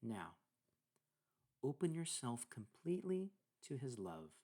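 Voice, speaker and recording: A man leading a guided meditation, speaking deliberately in short phrases with pauses between them. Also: medium pitch, very animated, mellow. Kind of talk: guided meditation narration with scripture reading.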